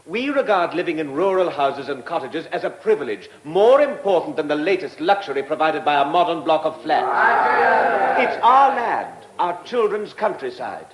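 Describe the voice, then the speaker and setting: Earnest, animated educated Englishman. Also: on the high side, a little hiss or hum. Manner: polite, measured, articulate. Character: earnest, courteous, well-spoken village campaigner. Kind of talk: British radio drama acting. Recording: roomy, echoing radio drama studio.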